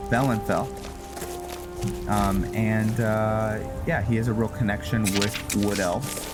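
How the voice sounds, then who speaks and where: A man giving casual gameplay commentary mixed with story narration, halting and thinking aloud as he goes. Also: lowish voice, fairly even intonation. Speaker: host of a gaming roleplay series. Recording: music behind the voice. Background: music.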